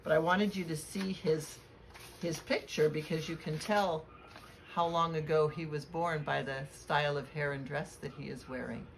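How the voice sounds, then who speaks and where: Female children's read-aloud reader, lower register, expressive and deliberate, with audible ambient noise. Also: low-quality recording. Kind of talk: storybook read-aloud with spoken introduction. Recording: clear background noise.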